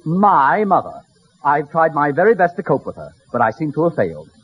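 An actor playing a flustered, earnest visitor in radio comedy, hesitant, formal and secretive. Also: male voice, a little high, big pitch swings, fairly quick, breathy edge, highs cut off, exaggerated voice. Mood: anxious, earnest. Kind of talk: 1940s-50s radio comedy acting. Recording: noisy old radio broadcast.

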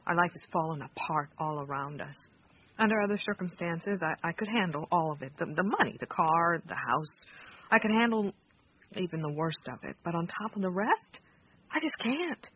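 An actress playing a weary, grieving wife in an audio drama, resigned and reproachful. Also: female voice, on the low side, expressive, normal speed, lightly acted. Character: weary grieving wife leaving her husband. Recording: dull radio recording, faint hiss.

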